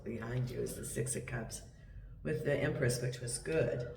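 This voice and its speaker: Croaky man reading tarot. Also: mid-range voice, darkish tone, far from the mic. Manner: chatty, meandering, with many ums. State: croaky from a cold. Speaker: tarot reader. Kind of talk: casual tarot reading to camera. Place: home in Portugal. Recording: echoey room, voice set back from the mic, faint hiss.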